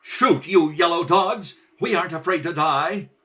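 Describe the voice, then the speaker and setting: A man playing a bold, defiant young space hero in a radio serial, earnest and forceful. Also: on the high side, a little slow.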